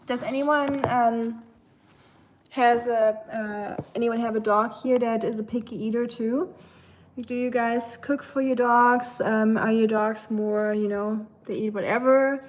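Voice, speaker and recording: A woman's voice: a little high, steady tone, measured pace. A young woman from Germany with a German accent, chatting casually with livestream viewers while she cooks at home, giggling and cooing at her dog. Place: home kitchen.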